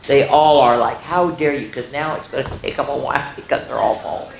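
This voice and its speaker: A woman's voice: very deep voice, steady tone, fairly quick, vocal fry, slight background noise. A woman hosting children's story time, reading a picture book aloud and breaking off for exclaiming asides to her listeners. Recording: roomy, echoing indoor sound.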